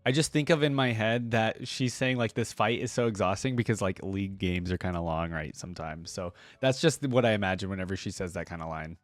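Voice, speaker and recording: A man's voice: low voice, brisk, hardly any pauses, no echo. A man giving casual, conversational reaction commentary as a video host.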